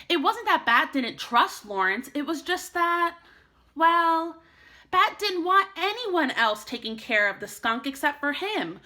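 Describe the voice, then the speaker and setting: A woman teacher reading a children's storybook aloud in an expressive way meant for young listeners. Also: on the high side.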